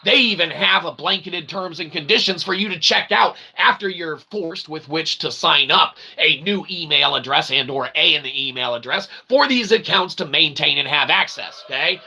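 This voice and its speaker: A man's voice: a little high, moderate pace, vocal fry, hardly any pauses, bright, forceful tone, slightly rough. A man hosting a livestream rant, profane, emphatic and repetitive, with wordy, mock-formal phrasing. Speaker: host of a livestream rant show.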